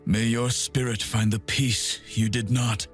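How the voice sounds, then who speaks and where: A man voicing a grim, solemn undead swordsman from a video game, speaking in a measured, dramatic way over music. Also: mid-range voice, level tone, close to an everyday voice. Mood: solemn warning. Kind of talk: video game character voice acting.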